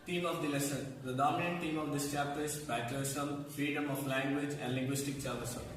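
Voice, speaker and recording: Heard from far off in a very echoey, noisy hall, a young man narrates from a script. His voice is fairly high and his delivery is stiff and recited, like a student announcing scenes. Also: fairly even intonation, brisk. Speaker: student narrator of a puppet show. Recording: distant mic, very echoey hall, clear noise.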